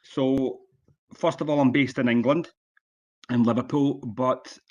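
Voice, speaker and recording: A Scottish man in his sixties giving an online conference lecture as a guest speaker, plain-spoken and relaxed, with frequent ums and uhs. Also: medium pitch, unhurried, lo-fi sound. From Scotland. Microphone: video call.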